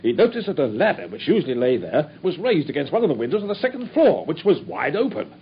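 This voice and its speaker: An earnest police inspector. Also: male voice, on the high side, huge pitch swings. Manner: earnest, storytelling, brisk. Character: dogged male police inspector, earnest and proud. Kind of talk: radio drama acting. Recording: dull old radio recording with faint noise.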